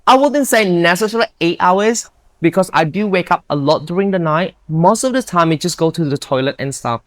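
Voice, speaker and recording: A woman's voice: fairly low pitch, animated, a little fast, no echo. Upbeat Indonesian-accented woman, dry sound. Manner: upbeat, earnest, non-native grammar. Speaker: guest, bodybuilder. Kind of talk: casual interview chat.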